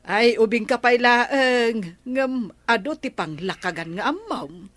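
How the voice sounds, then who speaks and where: A woman's voice: a little high, expressive, slow pace, a bit bright, heavily acted. An actress with an Ilocano accent playing an elderly grandmother in a radio drama, questioning and admonishing with concern. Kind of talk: comedy radio drama acting.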